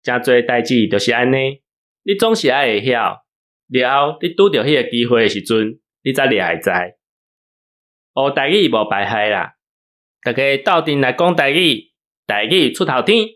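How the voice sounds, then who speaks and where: Middle-pitched male voice, Taiwanese accent, casual, personal talk. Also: expressive, moderate pace, a bit bright. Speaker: illustrator and scriptwriter.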